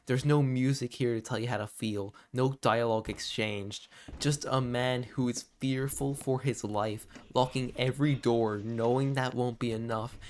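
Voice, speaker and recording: A man narrating a scripted video essay in an expressive, informal and confiding way, with casual asides. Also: mid-range voice, moderate pace, few pauses, a little hiss or hum.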